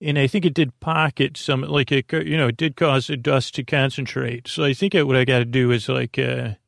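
Male sleep-podcast storyteller, mid-pitched with heavy fry and a dry sound; rambling, halting, mildly absurd, self-deprecating. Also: steady tone, fairly bright tone, no room sound. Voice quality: creaky. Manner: halting, digressive, full of false starts and trailing tangents. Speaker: podcast host.

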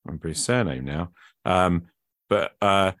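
A man's voice: deep voice, moderate pace, a bit bright, dry recording, clean studio sound. A man hosting a podcast, chatting casually and reflectively, thinking aloud as he goes. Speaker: podcast host. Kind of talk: casual conversation about hobby gaming.

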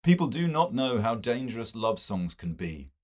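A man's voice: medium pitch, very animated, normal speed, pitched above the performer's own voice. A man voicing a solemn mystical sage in an audiobook, speaking in oracular, rhetorical phrases. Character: solemn mystical male sage, warning in occult tones.

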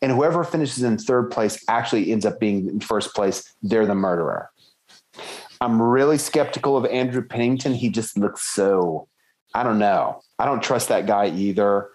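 A man co-hosting a casual book-club podcast, thinking aloud and hedging as he weighs theories with lively emphasis. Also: lowish voice, normal speed, low-quality recording. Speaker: podcast co-host.

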